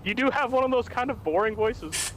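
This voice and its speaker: A man's voice: very high voice, lively intonation, brisk, low-quality recording. A man chatting while gaming with a friend, dry and teasing. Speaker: co-player. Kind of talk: casual gameplay chat.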